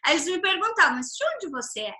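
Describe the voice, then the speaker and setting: High, bright-voiced Brazilian woman host. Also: fast. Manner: lively storyteller, rising loud when recounting anecdotes. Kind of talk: casual interview chat.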